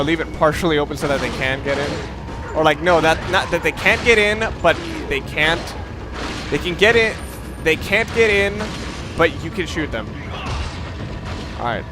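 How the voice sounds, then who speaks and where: A man with a slightly high voice talks through a video game as he plays. He rambles casually and puts himself down. Clear game music runs behind him. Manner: rambling, self-deprecating. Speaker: gaming video creator. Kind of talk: casual let's play commentary. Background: video game music and sounds.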